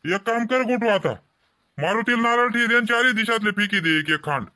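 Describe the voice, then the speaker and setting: Man as a cartoon farmer dad, fairly high male voice, proud, practical, plain-spoken, Ahirani accent. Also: normal speed, sharp tone. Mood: earnest. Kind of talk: comedy cartoon dialogue.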